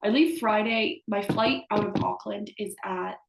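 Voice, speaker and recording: An American woman in her early twenties co-hosts a casual podcast over a remote call. Her voice sits at an average pitch, and the room around her rings with noticeable echo. She talks informally, as friends do. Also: a little slow, creaky, noisy background. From United States. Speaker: podcast co-host. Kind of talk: casual chat between friends. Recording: roomy, echoey video call audio.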